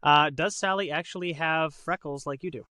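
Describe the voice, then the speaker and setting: A man hosting a podcast, interviewing casually with an excitable, gushing manner. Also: a little high, moderate pace, no echo, studio quality. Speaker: podcast host.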